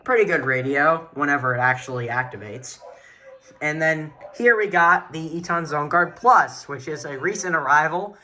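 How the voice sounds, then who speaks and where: A man's voice: fairly high pitch, animated, moderate pace, sharp tone. A man giving a casual show-and-tell tour of a radio collection as an enthusiastic hobbyist.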